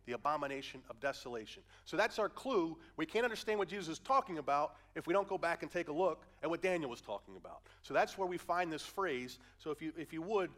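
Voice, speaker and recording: A man's voice: a little high, fairly bright tone. A male pastor preaching a conversational sermon through a head cold, asking his listeners questions as he reads and explains verses. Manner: conversational, asks the audience questions.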